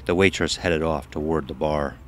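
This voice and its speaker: A man narrating a scripted dark audio story. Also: rumbling low, fairly even intonation, normal speed.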